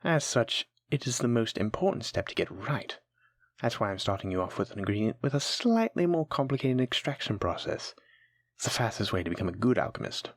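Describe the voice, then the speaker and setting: A voice actor playing a kind, patient alchemy teacher in a fantasy audio roleplay, gently encouraging and instructing a new apprentice. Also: medium pitch, sing-song, a little fast, no room sound. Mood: warm, teaching. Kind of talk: soft-spoken scripted fantasy audio roleplay.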